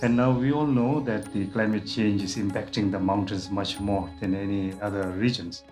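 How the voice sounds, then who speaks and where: A man from Bhutan speaks in a measured, earnest documentary interview. His voice sits a little low, and steady music runs clearly beneath it. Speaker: climate expert interviewee. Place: dark studio. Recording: music bed under voice. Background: background music.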